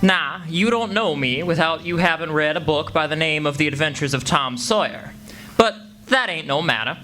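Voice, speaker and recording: An actor playing a plain-spoken, rough-edged country boy telling his tale in a folksy, ungrammatical drawl in a radio play. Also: on the high side, lively intonation, normal speed, sharp tone, faint music behind. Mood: folksy, matter-of-fact. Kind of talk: radio play monologue before live audience.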